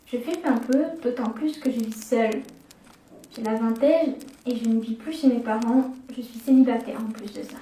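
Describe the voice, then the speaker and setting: A young woman narrates a first-person horror tale in a mellow, slightly high voice. She slows down to build suspense. The recording sounds roomy, with her a little away from the mic. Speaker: storyteller. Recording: echoey room, distant from the mic.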